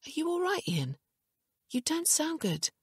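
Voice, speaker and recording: A woman's voice: sits high, wildly swooping pitch, measured pace, no room sound, pitched above the performer's own voice, full character voice. A woman voicing an anxious, frightened wife in an audiobook, with a tremulous, pleading manner. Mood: worried. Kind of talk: audiobook character dialogue.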